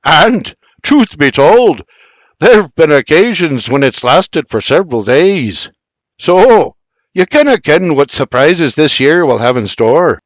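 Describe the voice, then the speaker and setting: A man's voice: medium pitch, very animated, takes it slowly, no echo, full character voice. A man voicing a stubborn, cheerful old Scottish man in a Scottish accent who lectures with plenty of Scots dialect. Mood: insistent. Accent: Scottish, as played.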